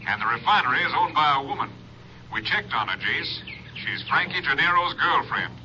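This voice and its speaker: An actor playing a brisk, assured police captain making his report in a 1950s radio drama. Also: fairly high pitch, big pitch swings, pushed and bright, heavily acted. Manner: businesslike, assured. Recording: noisy, dull old radio recording.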